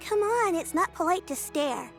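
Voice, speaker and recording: A woman's voice: very high-pitched, animated, moderate pace. A woman voicing a caring, cheerful young girl who looks after her grandmother in an English video game dub, polite and earnest. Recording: game audio with music underneath.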